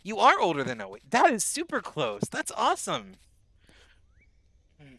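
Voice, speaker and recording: A man's voice: high voice, huge pitch swings, normal speed, creaky, a bit bright, no room sound. A man in his twenties casually chatting with his live-stream chat while playing a game, rambling and answering messages, a little sick. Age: 20s. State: a bit sick, on bed rest.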